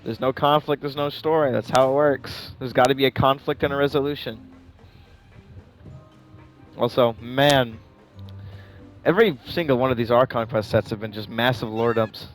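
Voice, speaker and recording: Blunt male gamer, mid-pitched. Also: steady tone, normal speed, long pauses, faint music behind. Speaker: game streamer. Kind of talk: casual play-along commentary.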